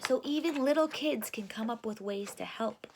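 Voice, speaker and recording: A woman reading a children's picture book aloud in a deliberate, teacherly way, stopping to put questions to young listeners. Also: a little high, vocal fry, background noise. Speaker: read-aloud storyteller for children.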